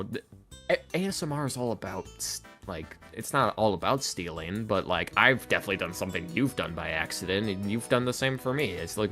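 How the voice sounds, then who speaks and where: A man's voice: lowish voice, fairly bright tone. A man in a casual podcast chat as an interview guest, talking in a rambling, self-deprecating, sardonic way. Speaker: interview guest, ASMR roleplay creator. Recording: music playing behind the talk. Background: background music with guitar.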